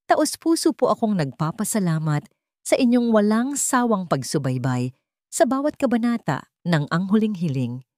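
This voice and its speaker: A woman with a Filipino accent narrates a scripted story in a solemn, measured, dramatic way, pausing deliberately between phrases. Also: medium pitch, very animated, a little mellow, no echo, studio quality. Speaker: audiobook narrator.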